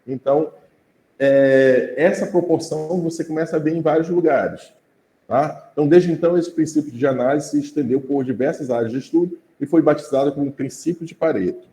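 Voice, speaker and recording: A man's voice: on the high side, fairly quick, warm tone. A man with a Brazilian Portuguese accent teaching an informal class, talking conversationally and often checking in with his students. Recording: roomy echo.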